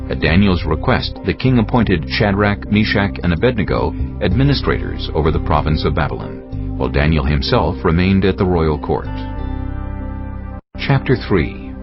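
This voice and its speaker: A man narrating a dramatized scripture reading as a scripted storyteller. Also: sits low. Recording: dull band-limited audio, faint music.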